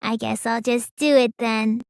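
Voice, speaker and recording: A woman voicing a small, gloomy, stubborn teenage girl mage in an English video game dub, drawling when calm and stammering when upset. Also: fairly high pitch, a little slow, gravelly, clear, resonant tone, no echo, studio quality. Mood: sleepy, deadpan.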